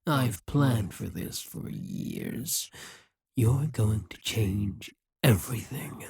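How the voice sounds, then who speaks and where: A man voicing a breathy, haughty demon king. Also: fairly low pitch, huge pitch swings, a little mellow, no room sound, studio quality, full character voice. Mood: brooding. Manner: condescending, slow and musing. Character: possessive scheming male demon king, arrogant. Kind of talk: audio roleplay.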